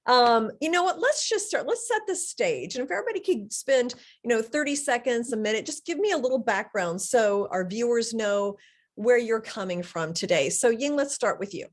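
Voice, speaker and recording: Upbeat woman moderator, fairly high voice, casual with fillers; live webinar host. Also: animated, moderate pace. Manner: upbeat, loose, with fillers and restarts. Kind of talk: hosting a live panel webinar.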